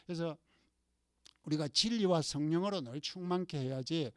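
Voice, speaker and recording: A man's voice: a little high, normal speed, fairly bright tone, no room sound, clean studio sound. A man from the Gyeongsang region with a Gyeongsang accent, preaching a conversational sermon as a pastor and asking the congregation rhetorical questions. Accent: Gyeongsang Korean. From Gyeongsang region, Korea. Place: church.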